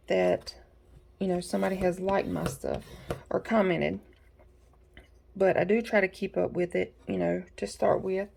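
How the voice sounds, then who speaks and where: Gravelly, congested woman crafting. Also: fairly low pitch, warm tone. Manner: chatty, folksy, talks to viewers while working. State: congested from allergies. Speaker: craft tutorial host. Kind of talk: casual step-by-step craft tutorial. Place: home craft desk.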